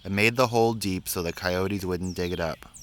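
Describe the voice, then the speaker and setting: A man's voice: low-pitched, normal speed, fairly bright tone, a little hiss or hum. A man voicing an anxious, plaintive little girl in a children's storybook. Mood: sad. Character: anxious, sorrowful little girl who lost her turtle. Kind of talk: storybook character voice.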